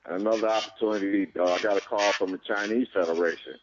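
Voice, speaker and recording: A man's voice: lowish voice, steady tone, fast, noisy background. A man, a boxing trainer, answering interview questions plainly and repeating words as he goes. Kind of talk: interview answers over a call. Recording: dry muffled call audio.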